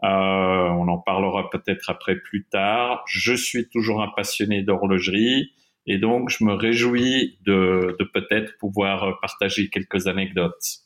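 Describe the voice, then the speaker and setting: Swiss guest, middle-aged man, low voice, hesitant with fillers. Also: steady tone, a little slow, a bit bright. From Switzerland. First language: German. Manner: hesitant, many fillers and restarts. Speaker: watch industry guest. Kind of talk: interview conversation.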